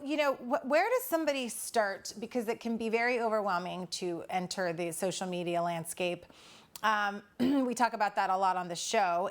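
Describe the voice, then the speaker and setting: A woman hosting a talk show, chatty and upbeat as she interviews a guest. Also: mid-range voice. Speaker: TV show host.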